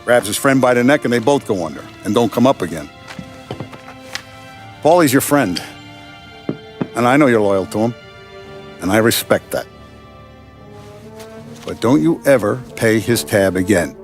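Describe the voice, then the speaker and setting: Quietly menacing American mob boss. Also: male voice, on the low side, lively intonation, soft background music. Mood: veiled menace. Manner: deliberate, authoritative, veiled threats. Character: commanding male Mafia boss, calm and quietly menacing. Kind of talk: cinematic crime game acting.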